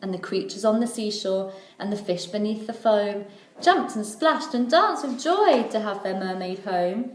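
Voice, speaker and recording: Female primary teacher reading to children: mid-pitched, rhythmic storytelling, recorded in an echoey room. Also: animated, moderate pace, slight background noise. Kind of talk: children's storybook read-aloud.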